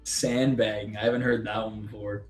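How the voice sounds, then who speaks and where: A man's voice: fairly low pitch, flat delivery, normal speed. A young man from Canada co-hosting a trading live stream, chatting casually with a lot of fillers and frequent laughs. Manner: chatty, laughs often, filler-heavy rambling. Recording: roomy sound with faint music.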